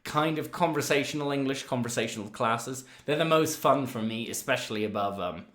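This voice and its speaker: Relaxed man, fading phrase ends. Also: mid-range voice, a little fast. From Ireland. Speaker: English teacher, regular guest. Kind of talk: casual teaching chat. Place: home room, indoors. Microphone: studio mic on a video call.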